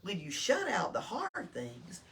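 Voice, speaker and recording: Older woman, very lively intonation, children's read-aloud, simple explanations with prompting questions, recorded far from the mic. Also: mid-range voice, measured pace. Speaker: library storytime reader. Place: small-town library. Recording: distant mic with background noise.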